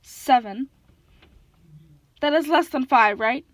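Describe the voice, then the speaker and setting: A woman's voice: high-pitched, slow pace, dry recording. A woman plays a gigantic male Cyclops in an audiobook, hungry, gullible and half-blind. The monster speaks bluntly, grumbling and making demands, in a dry, close recording. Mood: gruff bargaining. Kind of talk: audiobook character voice.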